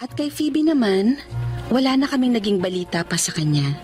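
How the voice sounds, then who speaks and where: Emotional Filipino wife, lively high voice. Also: female voice, moderate pace, music in the background. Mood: reflective. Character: Sandra, wronged wife, emotional and fiercely protective. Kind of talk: Filipino radio drama acting.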